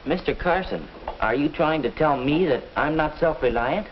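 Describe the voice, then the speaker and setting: A young actor playing an earnest, eager high school student in a 1950s instructional film. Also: male voice, lively intonation, moderate pace, close to an everyday voice. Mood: earnest, unsure. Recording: muffled old film soundtrack, faint noise.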